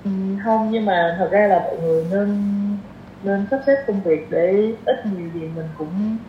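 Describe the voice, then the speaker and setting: A woman's voice: mid-range voice, normal speed. A woman in her twenties with a southern Vietnamese accent, chatting informally as a podcast guest and mixing in English slang. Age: 20s. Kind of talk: casual conversational interview. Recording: roomy, dull sound with faint noise.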